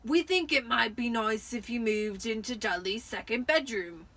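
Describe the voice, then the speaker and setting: A woman's voice: a little high, a bit bright. A woman voicing an angry, bossy middle-aged uncle with a snapping, strained delivery in a storybook reading. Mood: blustering, bossy. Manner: snapping, wheezing, forced slow delivery. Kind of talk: dramatic amateur audiobook reading.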